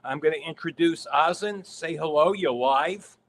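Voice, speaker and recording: A man's voice: on the high side, moderate pace. A middle-aged man hosting a livestream interview, introducing his guest effusively. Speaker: interview host.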